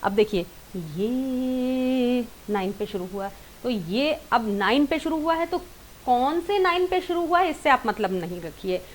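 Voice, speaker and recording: A woman giving an informal singing lesson, explaining step by step with repeated, rephrased points and English words mixed in. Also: high voice. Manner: mixes English words into talk. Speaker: singing teacher. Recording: clear background hiss and noise.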